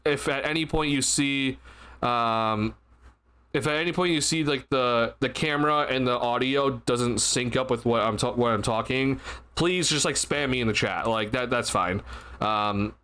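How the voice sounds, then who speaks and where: A man's voice: medium pitch, fairly even intonation, a little slow, bright, forceful tone, smooth. A tired, slightly stuffy young man hosting a casual postgame livestream, rambling with lots of fillers and restarts into a desk mic. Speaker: sports livestream host. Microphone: desk mic at a streaming setup.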